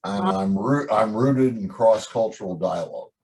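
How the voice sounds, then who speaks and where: A man from Texas introducing himself in a measured way on a video call. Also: lowish voice, a little mellow, rough recording. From Texas, United States. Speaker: meeting participant. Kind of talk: casual self-introduction.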